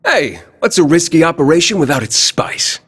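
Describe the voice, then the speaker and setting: An actor playing a cocky, teasing young male squad mentor with a husky voice in a video game cutscene. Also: on the high side, big pitch swings, normal speed, a bit bright, long reverb tail, heavily acted.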